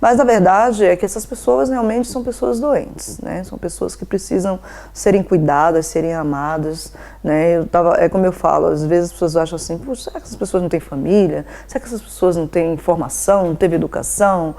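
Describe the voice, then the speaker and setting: A woman's voice: on the low side, fairly quick, warm tone. A woman from Bahia, an NGO president answering interview questions in a devout, earnest way and often adding "né?". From Bahia, Brazil. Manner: devout, earnest, frequent "né?" tags.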